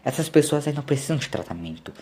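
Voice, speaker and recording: A man's voice: medium pitch, sing-song, fast talker, darkish tone, voice pushed up, lightly acted. A Brazilian man voicing a confident, resolute time-travelling doctor in an audio story. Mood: hushed, resolute.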